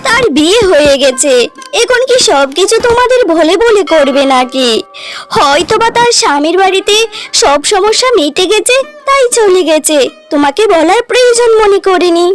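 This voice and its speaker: A woman voicing a haughty, high-pitched young wife. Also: lively intonation, moderate pace, faint music behind. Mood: scornful, scolding. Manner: haughty, scolding. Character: vain, selfish, snappy young wife. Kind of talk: cartoon story acting.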